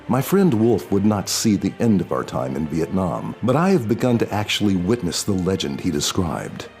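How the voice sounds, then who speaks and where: A man reading his own novel as a scripted audiobook, dramatic and solemn in a deliberate storytelling manner. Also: low voice, a little mellow.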